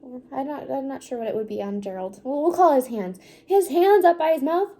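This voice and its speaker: A woman's voice: high voice, a little fast, dark tone. A woman presenting a picture book read-aloud for children, informally explaining and putting questions to her listeners.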